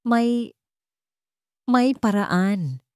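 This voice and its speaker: A woman's voice: a little high, lively intonation, very slow, smooth, dry recording, voice pushed up. A ruined, desperate husband acted out by a woman in a romance audio story. His voice is cracked and broken, pleading through trouble, in a dry recording. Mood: desperate, hesitant.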